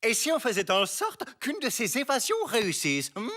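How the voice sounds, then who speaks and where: A man playing a fussy, self-important middle-aged prison director in an animated cartoon, pompous and theatrical. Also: very high voice, moderate pace, exaggerated voice. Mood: scheming.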